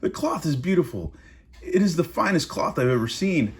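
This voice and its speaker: A man's voice: a little high, very animated, moderate pace, husky, pitched above the performer's own voice, full character voice. A man voicing a flustered, bluffing army general in a storybook, with fretful exclamations. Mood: false admiration.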